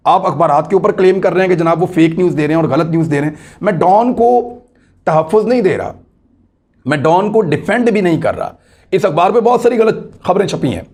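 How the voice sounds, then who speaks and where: High-pitched Pakistani man explaining the news. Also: darkish tone. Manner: emphatic, repeats key phrases, rhetorical questions. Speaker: political commentator hosting own online video. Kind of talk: explanatory news commentary to camera.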